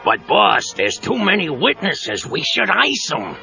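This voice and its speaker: An actor playing a gravelly gangster henchman, eager for violence, in a 1990s audio drama. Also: high voice, wildly swooping pitch, unhurried, pushed and bright, heavily acted. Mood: murderous, urging. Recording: dull cassette, faint music behind.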